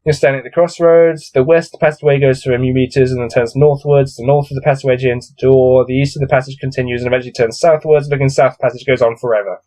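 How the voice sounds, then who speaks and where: A man's voice: medium pitch, fairly even intonation, quick pace, few pauses, warm tone, low-quality recording. A man with a cold coming on reads a gamebook aloud, adding weary, exasperated, off-the-cuff commentary. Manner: weary, grumbling, exasperated asides. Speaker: solo gamebook reader and commentator.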